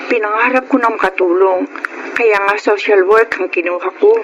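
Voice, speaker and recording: An actress playing a humble, kind social worker in a Filipino radio drama, polite and hesitant, with a Filipino accent. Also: female voice, medium pitch, normal speed, lo-fi sound, background noise, natural voice. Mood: wistful, sincere.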